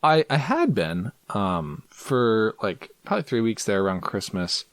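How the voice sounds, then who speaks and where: A young man chatting on a movie podcast, his pitch swinging up and down in an animated way. He jokes a lot and teases himself. The sound is close and dry, with no room around it. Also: unhurried, no room sound. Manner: animated, jokey, self-deprecating. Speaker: podcast co-host. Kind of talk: casual podcast chat.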